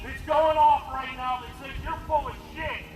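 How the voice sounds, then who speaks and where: Angry protester guy on a bullhorn, really high pushed voice, echoey noisy street behind him. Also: fairly even intonation, moderate pace. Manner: confrontational, accusing, emphatic. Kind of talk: impassioned protest speech shouted through a bullhorn. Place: outdoors at a street rally, traffic noise behind.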